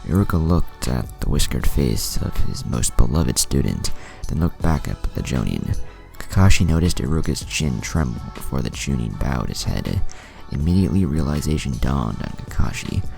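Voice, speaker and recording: A man's voice: rumbling low, big pitch swings, moderate pace, vocal fry, a little mellow. A man narrating a dramatic fan fiction story as a scripted reading. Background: music.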